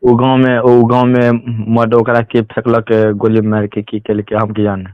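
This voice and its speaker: A man's voice: mid-range voice, completely flat delivery, moderate pace, smooth, no room sound. A man with a Bihari accent from Mithila, talking informally on a recorded phone call. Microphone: telephone.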